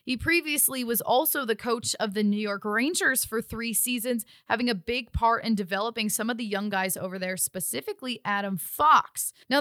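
A woman's voice: on the high side, sharp tone, no room sound, studio quality. A woman hosting a sports podcast, presenting team news and putting questions to a guest. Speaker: sports podcast host and interviewer. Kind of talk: scripted sports segment and interview.

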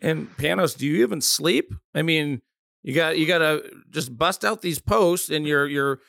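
A man's voice: fairly high pitch, moderate pace, vocal fry, fairly bright tone. A man hosting a sports interview, asking loose, rambling questions full of restarts. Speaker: podcast host.

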